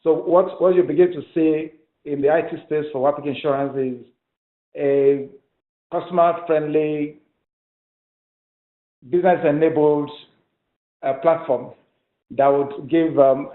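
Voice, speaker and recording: Male executive host, slightly high voice, formal and halting, roomy telephone sound. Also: fairly even intonation. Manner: measured, halting, courteous. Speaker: company executive hosting the call. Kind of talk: formal conference call answers. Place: telephone conference call. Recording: roomy, tinny speakerphone line.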